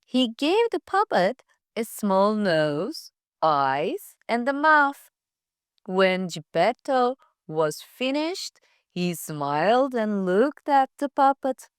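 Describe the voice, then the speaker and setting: A woman reading a scripted children's fairytale aloud for English learners, with careful, deliberate phrasing. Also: a little high, sing-song, dry recording, studio quality. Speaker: storyteller.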